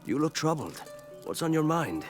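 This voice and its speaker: A man playing a kindly, reflective villager in a video game cutscene, recounting things with quiet concern. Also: on the high side, sing-song, moderate pace. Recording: music behind game dialogue.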